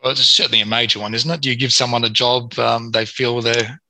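A man with a gravelly, fry-laced voice that comes across strikingly bright and forceful. He answers interview questions in a halting way, full of fillers and restarts. The sound is very dry and close. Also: medium pitch, steady tone, dry recording, rough recording. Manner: hesitant, many fillers and restarts. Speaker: mining company executive. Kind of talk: business interview.

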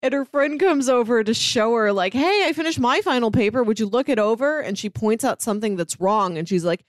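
A woman co-hosting a podcast, chatting casually and retelling a show in an animated way, acting out bits of dialogue. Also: fairly high pitch, brisk, no echo. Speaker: podcast co-host. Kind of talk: casual chat recapping a TV show.

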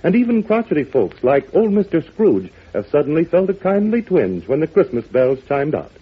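A man's voice: on the high side, very animated, moderate pace, slightly rough. A man announcing on old-time radio, earnestly and chummily addressing young listeners. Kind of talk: 1940s children's radio address. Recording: thin phone-like old radio recording.

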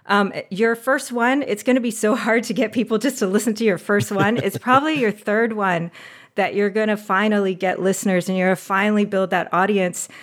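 A woman's voice: mid-range voice, a little fast. Chatty woman laughing as she talks. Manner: animated, chatty, laughs often. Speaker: podcast guest, audio drama producer. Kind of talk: casual interview chat.

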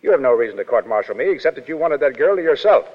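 Brash legionnaire, swooping, animated delivery. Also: male voice, medium pitch, very fast. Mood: defiant. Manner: blunt, slangy, insolent. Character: brash, defiant American-style Foreign Legion soldier, cynical. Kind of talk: 1930s radio drama acting. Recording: muffled 1930s radio broadcast, hall reverb.

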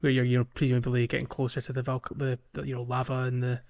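A man co-hosting a podcast, explaining a video game in a casual, halting way. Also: mid-range voice, completely flat delivery, a little mellow, highs cut off. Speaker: podcast co-host.

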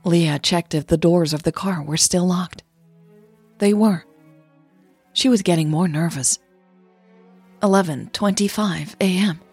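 A woman's voice: on the low side, a little mellow, slightly rough. A woman podcaster who narrates stories and hosts in an informal, chatty way. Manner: playful, self-deprecating, informal. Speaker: podcaster and storyteller, host. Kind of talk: scripted story narration and casual chatty podcast hosting.

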